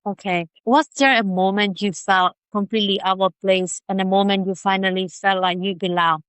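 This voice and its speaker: A woman hosting a podcast speaks in a crisp, clear voice, recorded close and dry. She asks friendly, simple questions and reads a short opening script. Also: medium pitch, fairly even intonation, normal speed, a bit bright, no echo. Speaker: podcast host. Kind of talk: interviewing a guest.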